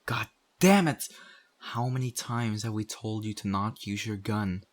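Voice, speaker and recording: A stern survivor of the undead apocalypse, acted by a man, with a fairly low male voice. He talks straight at the listener in an earnest, reproving way. His pauses are deliberate and pointed, as in an intimate audio roleplay drama, and he sounds exasperated yet principled. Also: heavy background noise, close to an everyday voice. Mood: angry, scolding.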